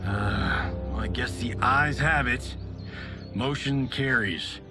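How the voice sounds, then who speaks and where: A man's voice: medium pitch, takes it slowly, over music, close to an everyday voice. A man playing a cocky, wisecracking hero in a video game cutscene, talking casually and wryly. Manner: flippant, casual, wry.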